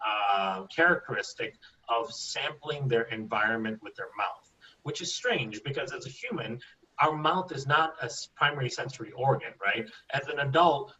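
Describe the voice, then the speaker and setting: A man's voice: medium pitch, sharp tone, recorded from a distance, highs cut off. A man from India, a microbiologist guest, explaining at length in an interview and often tagging sentences with "right?". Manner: explanatory, asks rhetorical "right?". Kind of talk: interview answers.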